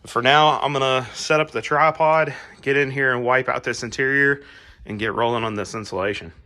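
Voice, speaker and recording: Raspy-voiced man, laid-back. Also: medium pitch, steady tone, a little fast, pushed and bright. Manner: laid-back, rambling with fillers. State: raspy, recovering from a cold. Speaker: garage channel host. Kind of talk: casual how-to vlog. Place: home garage, working on a car.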